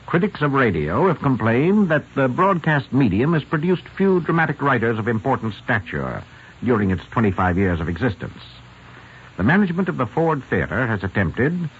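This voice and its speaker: Animated male host, tinny old radio. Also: mid-range voice, slightly rough. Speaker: radio drama host, narrator. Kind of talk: formal scripted 1940s radio presentation. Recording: thin vintage radio broadcast, phone-like.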